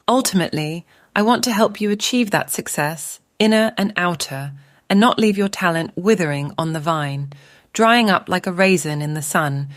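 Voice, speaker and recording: A woman author and coach narrating her own self-help audiobook in a measured, deliberate, instructional way. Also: on the low side, no echo, clean studio sound.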